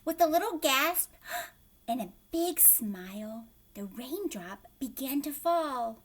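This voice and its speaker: Slow, expressive woman reading to children. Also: high-pitched. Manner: expressive, asks the listeners questions. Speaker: teacher reading a picture book to young children. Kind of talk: read-aloud storytelling with asides to young children.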